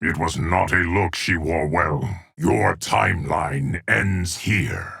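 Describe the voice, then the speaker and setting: A man playing a stern, ancient keeper of time in a fighting video game, with a gravelly, husky voice and a formal, portentous delivery. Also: low-pitched, expressive, slow, bright, forceful tone, no echo, exaggerated voice. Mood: commanding, ominous. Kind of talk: dramatic fighting game character lines.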